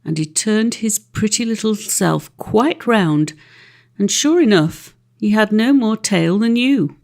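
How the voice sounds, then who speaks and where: A woman's voice: medium pitch, lively intonation, slow pace, darkish tone. A woman reading a classic children's story as an audiobook narrator.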